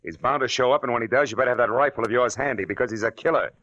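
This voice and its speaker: An actor playing a tough, wry private detective in an old-time radio drama, terse and sardonic. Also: male voice, medium pitch, rapid-fire, a bit bright, highs cut off, close to an everyday voice. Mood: commanding.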